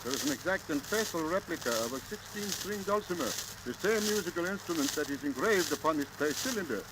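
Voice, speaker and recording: Male actor for earnest, deferential assistant in a radio play. Animated pitch, 1930s delivery, very noisy old transcription disc. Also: quick pace, close to an everyday voice. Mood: apologetic.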